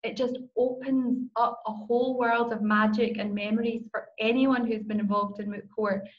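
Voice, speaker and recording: A woman's voice: a little high, level tone, moderate pace, lo-fi sound. A middle-aged Scottish woman, a law professor and moot court coach, giving an enthusiastic, personal talk on a conference panel over a video call. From Scotland.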